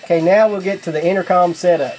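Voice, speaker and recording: A man's voice: sits high, fairly even intonation, quick pace, a little mellow, soft background music. A man giving a casual how-to demonstration in a folksy, plain-spoken way, like a product demonstrator explaining things step by step.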